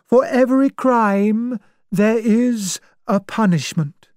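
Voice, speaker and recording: A woman's voice: on the high side, slow, darkish tone, dry recording, clean studio sound, pitched above the performer's own voice. A woman voicing a stern, self-righteous older spinster who speaks primly and judgmentally. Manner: prim, unbending, judgmental.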